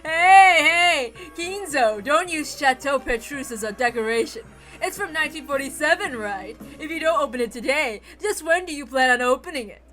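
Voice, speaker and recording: High, gleeful, taunting young witch. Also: lively intonation, a bit bright, soft background music, low-quality recording. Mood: drunken revelry. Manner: theatrical, drawn-out words, cackling laughter.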